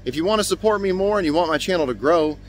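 A man's voice: high-pitched, animated, fast talker. A man in his thirties with an American accent, explaining things casually and upbeat as a technician hosting an instructional vlog. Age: 30s. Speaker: HVAC technician and video host. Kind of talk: informal instructional vlog to camera. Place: outdoors by a building and in utility spaces. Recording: clear background noise, outdoors and utility room.

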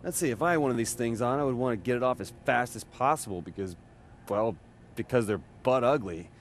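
A man's voice: mid-range voice, animated, brisk, slight background noise, natural voice. A man playing a cocky, wisecracking young American with superpowers in a video game, casual and sarcastic. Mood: sardonic. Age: young adult.

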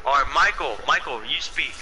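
A man's voice: fairly high pitch, lively intonation, normal speed, a loud crowd. A young man joining a gaming voice chat, joking and teasing the others. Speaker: gaming teammate. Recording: very noisy distant voice chat.

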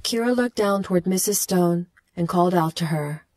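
A woman narrating a scripted horror story in a measured, dramatic storytelling manner. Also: fairly low pitch, darkish tone.

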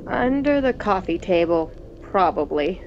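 A woman co-hosting a gaming video, reading visual novel text aloud in a playful, expressive way with casual asides. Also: fairly low pitch, a little slow. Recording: dull, noisy home recording.

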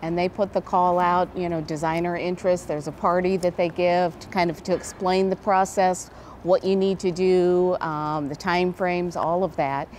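A middle-aged American woman speaks in a slightly low voice, explaining things conversationally as an interview guest. She is on a lapel mic in a large indoor space, with some ambient noise behind her. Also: flat delivery, moderate pace, darkish tone. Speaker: event director, interview guest. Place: large indoor event hall.

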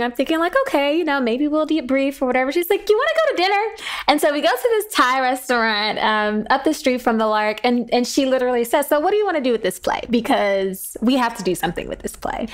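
A woman with a fairly high voice speaks as a guest in an interview. She talks in a reflective, conversational way about her own work as a writer.

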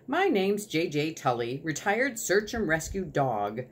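A woman voicing a retired rescue dog narrating a storybook, weary and wry, with deadpan, clipped delivery. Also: low-pitched, unhurried. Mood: wry introduction.

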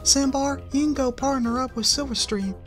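A man voicing a shy, gentle female pegasus teacher in a fan story reading, soft and sweet. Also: extremely high pitch, fairly even intonation, normal speed, faint music behind, voice pushed up. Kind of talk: fanfic reading.

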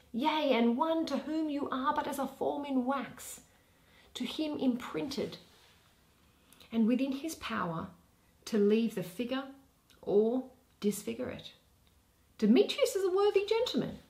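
Woman reading as a stately, unhurried duke. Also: high-pitched, a little mellow, roomy sound, pitched above the performer's own voice. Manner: commanding, measured, declamatory. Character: stately duke, eager bridegroom and stern judge. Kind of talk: Shakespearean verse reading.

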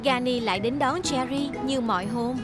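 A woman narrating a children's cartoon in a gentle, scripted storybook style. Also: high voice, normal speed, lightly acted. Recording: loud music behind the voice.

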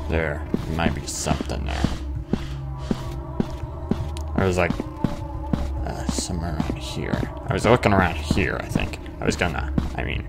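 A man's voice: low voice, gravelly. A man giving casual, rambling gameplay commentary, muttering asides to himself. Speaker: gamer hosting a playthrough. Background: game music.

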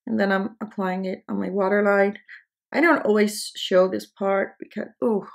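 A young Dutch woman talks through her makeup routine in a loose, self-mocking way, her voice often slipping into a gravelly fry. She sits close to the camera in a small indoor studio. She is speaking English, which is not her first language. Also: medium pitch, level tone, normal speed. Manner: chatty, self-deprecating, talks while applying makeup. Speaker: beauty vlogger. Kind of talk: casual makeup tutorial chat.

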